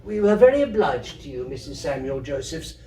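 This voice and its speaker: A man's voice: fairly high pitch, big pitch swings, fairly quick, warm tone, echoey room, far from the mic, slight background noise, low-quality recording. A man voicing a courteous, sensible old grandmother in a storybook reading.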